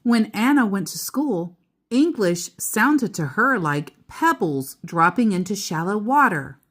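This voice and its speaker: Female storyteller for children's read-aloud, mid-range pitch, unhurried measured delivery, casual explanatory asides. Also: expressive, a bit bright. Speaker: storyteller reading a picture book aloud.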